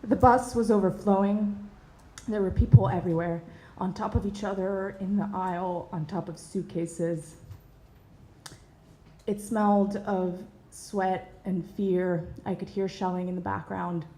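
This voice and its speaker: A woman's voice: mid-range voice, steady tone, roomy sound, low-quality recording. Earnest woman telling a personal story. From Bosnia and Herzegovina. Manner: earnest, measured, with soft hesitations. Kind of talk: personal story told to an audience.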